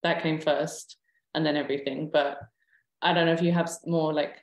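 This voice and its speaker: A woman's voice: low-pitched, flat delivery, normal speed, reverberant. A woman from Southeast London with a London English accent, asking a study-group question hesitantly, full of "like" and "um". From Southeast London, England. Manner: hesitant, many "like" and "um" fillers. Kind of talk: casual question.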